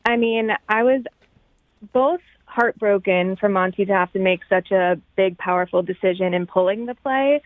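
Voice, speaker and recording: A woman's voice: medium pitch, normal speed, no room sound, narrow, tinny audio. A woman speaking conversationally as a theater producer and guest in a radio interview.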